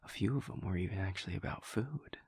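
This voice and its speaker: A man playing a playful, affectionate vampire boyfriend in an intimate audio roleplay, talking casually and teasingly in a breathy, gravelly voice that often drops near a whisper. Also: sits low, quick pace, a little mellow, dry recording, studio quality, heavily acted. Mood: playful, fond. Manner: wry, teasing, hesitant, chuckling casual delivery.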